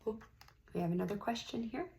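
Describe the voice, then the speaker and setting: A woman reading a picture book aloud in an encouraging, teacherly manner, pausing to put questions to young listeners. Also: a little high, mellow, a little hiss or hum. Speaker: read-aloud host, teacher-like reader.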